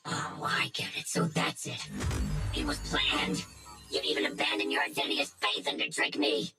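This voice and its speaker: An actress playing a menacing, smug female hacker in a video game, taunting and commanding, with a breathy, gravelly edge. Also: deep voice, lively intonation, normal speed, over loud music. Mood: furious, threatening. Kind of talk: English video game voice acting.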